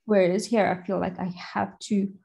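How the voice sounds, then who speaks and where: A woman's voice: mid-range voice, little pitch movement, moderate pace, vocal fry, warm tone. Thoughtful woman interviewer. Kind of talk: casual conversational interview.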